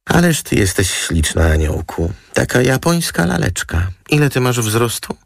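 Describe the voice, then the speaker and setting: A man's voice: medium pitch, sing-song, normal speed, gravelly, no echo, studio quality, pitched above the performer's own voice. A man voicing a gushing, theatrical young female model with a cooing, coquettish manner in an audiobook.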